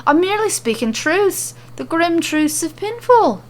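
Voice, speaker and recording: A woman's voice: very high voice, big pitch swings, a little slow, a little hiss or hum, pitched above the performer's own voice, heavily acted. A woman voicing a jolly, boastful Irish woman warrior in a fantasy story, theatrical and friendly with a dour streak. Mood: grandiose.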